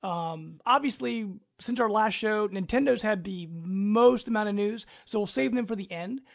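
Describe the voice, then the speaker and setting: Male host, high register with creak, informal and enthusiastic gaming podcast chat. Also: moderate pace.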